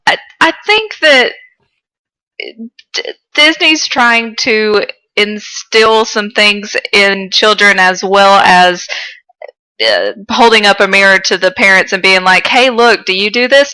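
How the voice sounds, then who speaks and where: A woman's voice: mid-range voice, slow, bright, forceful tone, smooth, poor audio. A young woman co-hosting a podcast, chatting casually with playful, informal banter. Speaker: podcast co-host. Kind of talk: casual comedic chat.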